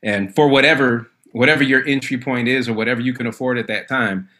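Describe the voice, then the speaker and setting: An easygoing man from Michigan talks casually as an interview guest. His voice is bright and forward at a middling pitch, and he rambles and restarts his sentences as he goes. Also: fast talker. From Lansing, Michigan, USA. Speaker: guest, a cappella artist. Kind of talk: casual conversation.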